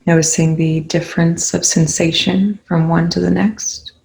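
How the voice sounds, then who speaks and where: A calm, unhurried speaker leading a guided meditation in soothing spoken instructions with long pauses. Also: medium pitch, vocal fry, poor audio.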